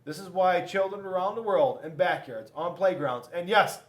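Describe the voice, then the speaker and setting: A man reading a children's storybook aloud in an animated style, with dramatic emphasis and drawn-out words. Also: high-pitched. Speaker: police school resource officer reading to children.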